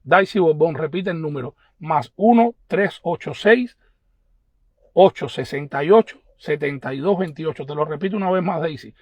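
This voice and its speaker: A man's voice: on the high side, normal speed, darkish tone, no echo. A man with a Havana Cuban accent hosts a live online news commentary, talking to viewers in an emphatic, insistent way and repeating his phrases. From Cuba. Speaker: host of an online news page.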